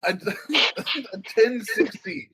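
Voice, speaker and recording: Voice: sits high, sing-song, very slow, sharp tone, poor audio. A player chatting casually and joking through a tabletop session. A faint hiss sits behind the voice. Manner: laughing, joking.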